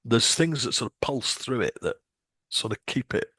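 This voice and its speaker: A man's voice: medium pitch, animated, moderate pace, pushed and bright, husky, no echo, studio quality. A man co-hosting a casual music podcast, talking with many restarts and ums. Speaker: podcast co-host.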